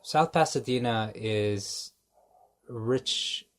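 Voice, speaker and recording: A man's voice: medium pitch, extremely slow. A man hosting a casual podcast interview who adds short reactions and asks curious follow-up questions.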